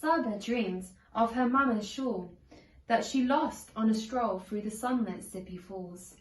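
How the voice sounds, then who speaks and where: A woman narrating a children's storybook aloud in a measured, deliberate storytelling manner. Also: fairly high pitch, slight background noise. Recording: echoey room, distant from microphone.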